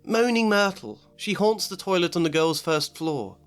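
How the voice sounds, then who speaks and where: A man doing a high, fussy schoolgirl. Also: lively intonation, smooth, soft background music. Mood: matter-of-fact. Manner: rushed, fussy and anxious. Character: a high, frantic, bossy schoolgirl.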